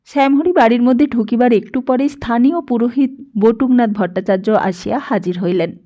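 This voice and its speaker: A woman's voice: fairly high pitch, a little fast, dark tone, lo-fi sound. A woman reading a literary novel as an audiobook narrator, in a scripted storytelling style.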